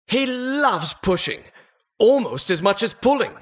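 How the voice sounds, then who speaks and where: A man's voice: sits high, very animated, unhurried, husky, full character voice. A man voicing a talking dungeon as a pompous, mock-polite, sardonic butler-like host in a video game. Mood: gleefully sardonic. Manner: pompous, mock-polite, arch formal phrasing. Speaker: game announcer. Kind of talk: theatrical video game voice-over.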